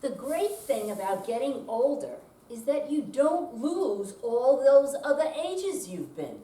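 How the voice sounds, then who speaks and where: Older female reader, lively swooping pitch, stage reading, distant in an echoey room. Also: slow. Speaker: amateur readers theater performer. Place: room at a senior center.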